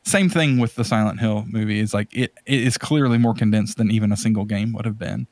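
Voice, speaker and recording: A man hosting a podcast in casual conversation, talking in a halting, rambling way as he searches for words. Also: on the low side, no room sound. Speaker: podcast host.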